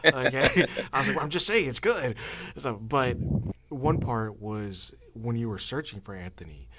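Man chatting in a halting, meandering way. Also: medium pitch, lively intonation, heavy background noise. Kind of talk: casual chat.

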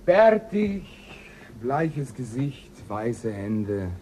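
A man's voice: medium pitch, big pitch swings, drawn-out words, warm tone, a little hiss or hum. An actor playing a nervous spaceship crewman in a radio drama. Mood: uneasy. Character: crew member Millado, edgy and jittery. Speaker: astronaut.

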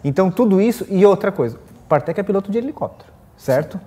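Southern Brazilian male racer, casual panel chat, high animated voice. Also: quick pace. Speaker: guest drag racer. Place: small indoor studio set.

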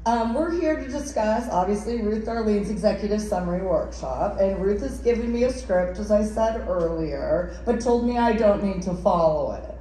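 A woman's voice: mid-range voice, animated, moderate pace, hardly any pauses. A woman giving a conference presentation, partly from a script, projecting her voice as a workshop presenter in a large room. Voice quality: loud, projecting voice. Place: large indoor conference ballroom. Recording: very echoey room, some noise, distant.

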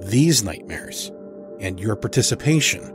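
A man hosting and narrating a horror fiction podcast, reading from a script in a measured, deliberate way. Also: fairly low pitch, sing-song, faint music behind. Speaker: podcast host and story narrator.

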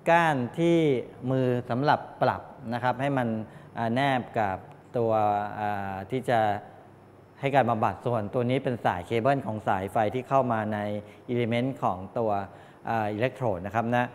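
A middle-aged man lectures to a class in a mid-pitched voice. He explains step by step in a halting way, often adding polite tags. The room rings with long echo, and there is some background noise. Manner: halting, explanatory, with frequent polite tag particles. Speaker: college lecturer in medical electronics. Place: medium-sized indoor classroom with wooden desks. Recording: echoey classroom, distant pickup, background noise.

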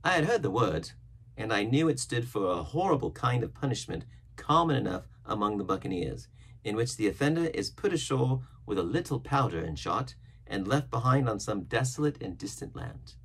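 A man's voice: fairly low pitch, sing-song, moderate pace, fairly bright tone, breathy edge. A middle-aged man reading an adventure novel aloud with expression. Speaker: storybook reader.

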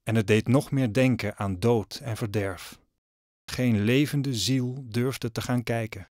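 A man's voice: mid-range voice, steady tone, takes it slowly, darkish tone, slight background noise. A man narrating a story in a scripted audio drama style. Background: music.

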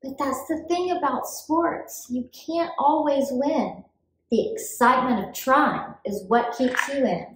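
A woman reading a children's book aloud in an expressive, deliberate storytime style for young children. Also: high-pitched, echoey room, a little hiss or hum, poor audio. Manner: clear, deliberate, animated delivery for young children.

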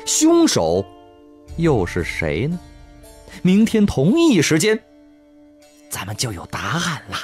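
A man's voice: a little high, huge pitch swings, slow pace, pauses often, heavily acted. A pompous old-time Chinese magistrate in a radio comedy. His delivery is theatrical and mock-solemn, swinging up and down wildly over music. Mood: mock-dramatic. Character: pompous comic Tang magistrate, mischievously self-satisfied. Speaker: radio drama actor. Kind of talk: comic radio drama acting.

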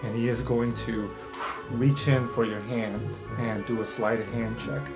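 A man narrates an improvised tabletop roleplaying game as game master. His pitch is middling, and music plays clearly behind him. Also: fairly even intonation, unhurried.

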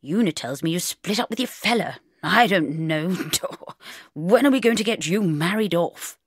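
A woman's voice: lowish voice, animated, normal speed, husky, dry recording, clean studio sound. Leering middle-aged man acted by a woman, patronising drawl, over-familiar, swooping intonation, storybook. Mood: leering, teasing.

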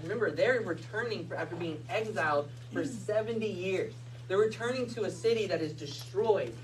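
A man in his thirties preaching a sermon in a small church hall, talking with lively, animated storytelling. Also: high-pitched. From United States. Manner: animated storytelling with hand gestures. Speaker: pastor. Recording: distant mic, faint room noise.

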